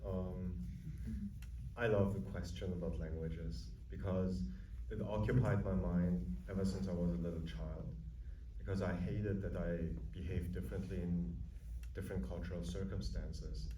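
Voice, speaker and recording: A deep-voiced man answering questions on stage, slow to find his words and thoughtful. He is picked up from a distance, and background noise hangs around him in a reverberant cinema. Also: steady tone. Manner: reflective, searching for words. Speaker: actor guest at a screening Q&A. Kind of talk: unscripted Q&A answers. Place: cinema auditorium. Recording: echoey room, mic heard from afar, noisy.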